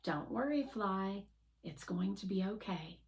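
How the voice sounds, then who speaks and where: A woman's voice: mid-range voice, animated, unhurried, natural voice. A woman voicing a hopeful, determined robot rover, earnest and reassuring, in a children's storybook.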